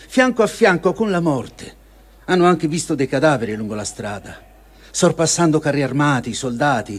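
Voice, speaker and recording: A man giving a solemn, measured dramatic reading of a first-person diary, with feeling and deliberate pauses. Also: fairly high pitch. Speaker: reader of a testimony.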